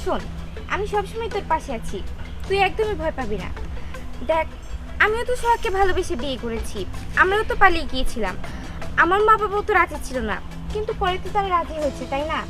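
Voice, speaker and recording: A woman plays a supportive young best friend, urging and advising. She speaks fast in a very high, lively voice, in short-film drama style over music. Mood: reassuring. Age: young adult. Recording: loud music behind dialogue.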